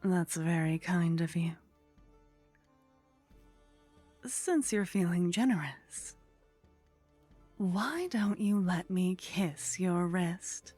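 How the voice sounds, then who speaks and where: Woman acting a slow, breathy, flirtatious vampire lady. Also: lowish voice, a little mellow. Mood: coy, seductive. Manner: slow, teasing, breathy murmur slipping into whispers. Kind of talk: ASMR roleplay. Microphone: close microphone.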